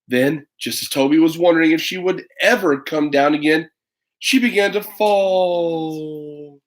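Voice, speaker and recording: A man's voice: fairly high pitch, measured pace. A man in his thirties chatting casually and reading a children's storybook online, rambling and hesitant with playful asides. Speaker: parent reading a picture book for children online. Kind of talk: casual livestream chat and children's storybook read-aloud.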